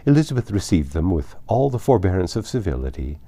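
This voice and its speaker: Deep-voiced, expressive male storyteller. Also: quick pace. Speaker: audiobook narrator. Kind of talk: expressive scripted narration of a classic novel.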